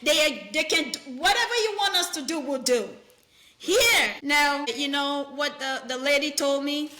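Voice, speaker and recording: A high, bright woman's voice, firm and animated as she tells stories about her patients and slips into their voices. She is a middle-aged nurse practitioner from Nigeria, speaking as a guest in a relaxed interview. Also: a little slow. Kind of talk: conversational interview.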